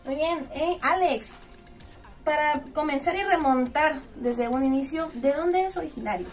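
A woman's voice: high-pitched, unhurried. A woman in her thirties with a northern Mexican accent, hosting a casual, chatty interview. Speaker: interviewer and host. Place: small indoor lounge room in a club. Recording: distant mic, music in background.

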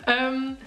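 A woman's voice: high voice, sharp tone, reverberant. A woman in her twenties chatting casually and joking about herself as a vlog co-host, filmed by a camera on a table in an apartment. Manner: chatty, self-mocking, laughs often. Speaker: vlogger co-host. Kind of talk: casual chat. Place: apartment living room, indoors.

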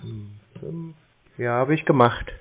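A man's voice: medium pitch, slow, gravelly. A man in a casual phone conversation, giving short, naive-sounding replies. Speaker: prank caller posing as an interested customer. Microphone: phone call. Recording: tinny narrowband phone line.

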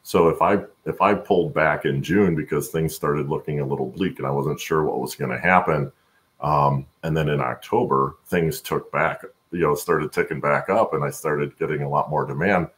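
Very deep, gravelly middle-aged man. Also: normal speed, fairly bright tone. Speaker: guest, supply chain expert. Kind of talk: conversational interview answers. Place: home room, on a video call.

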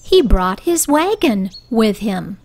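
A woman reading a picture book aloud for young children in a deliberate, expressive storytelling style. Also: fairly high pitch.

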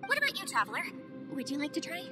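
A bright young legal advisor. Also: female voice, high-pitched, huge pitch swings, rapid-fire, music in the background. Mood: earnest. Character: young female legal advisor, earnest and kind. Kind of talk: video game voice acting.

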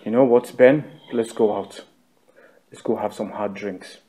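A man with a Nigerian accent acting an easygoing, excitable friend in a screen drama, often repeating words in a stammer. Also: on the low side, a little slow, lightly acted. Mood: distracted. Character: sympathetic, excitable male friend, easygoing and generous.

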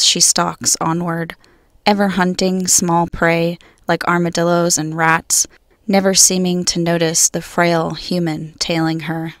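A woman poet reading her own poems in a measured, solemn way. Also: lowish voice, no room sound, studio quality. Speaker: guest poet. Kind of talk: poetry reading.

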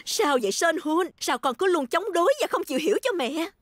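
A woman's voice: very high-pitched, expressive, a little fast, no echo, studio quality. A woman voicing a strict but loving mother in a cartoon dub, emotional and emphatic. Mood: scolding.

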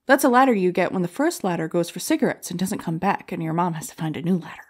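A woman's voice: fairly low pitch, expressive, fast, a little mellow, breathy edge. Brash, self-deprecating stand-up comedienne played by a woman. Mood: wry, joking. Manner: jokey, rhetorical, punchline-driven delivery. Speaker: comedy contest contestant. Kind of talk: stand-up comedy routine in an audio drama.

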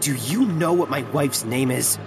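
A man's voice: mid-range voice, expressive, moderate pace, distant mic, music in the background, close to an everyday voice. A man playing a vengeful, insistent jealous husband in a horror audio drama. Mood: menacing. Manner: insistent, interrogating.

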